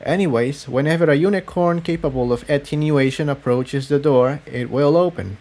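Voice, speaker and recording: A solemn pony mare who has turned her back on her master, read by a man. The voice sits a little high for a man and explains things slowly and gravely, with weary regret, as in a fantasy audiobook. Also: a little mellow, lightly acted. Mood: grave, explanatory. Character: grave, weary female pony defector explaining dark secrets.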